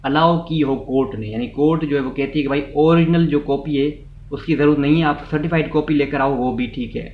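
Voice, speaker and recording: A man with a Pakistani accent gives an informal explanatory law lesson, repeating and rephrasing his points and slipping into English legal terms. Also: mid-range voice. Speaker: law lecturer. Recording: echoey room, muffled, faint noise.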